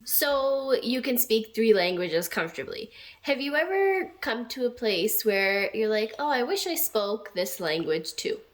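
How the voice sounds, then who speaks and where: A woman's voice: fairly high pitch, sing-song, measured pace. A woman interviewing a man in a casual, playful way, asking easy questions and teasing him a little.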